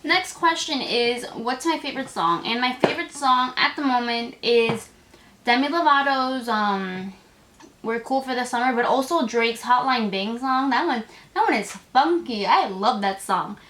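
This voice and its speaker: A woman's voice: fairly high pitch, expressive, unhurried, fairly bright tone, slight background noise. An eighteen-year-old woman chatting to camera in a casual vlog, gushing and full of "like" fillers. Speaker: vlogger. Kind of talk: casual tag video chat to camera.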